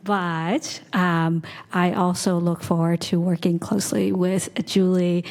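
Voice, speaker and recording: A woman's voice: on the low side, darkish tone, a little hiss or hum. A woman, the new director, introducing herself on the spot in a bubbly, slightly nervous way. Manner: bubbly, laughing a little nervously. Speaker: incoming agency director. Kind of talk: impromptu self-introduction.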